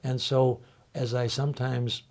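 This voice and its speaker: An older man on a discussion panel, speaking thoughtfully and haltingly, with many pauses and fillers. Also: medium pitch, flat delivery, a little mellow. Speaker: panel guest, college faculty member.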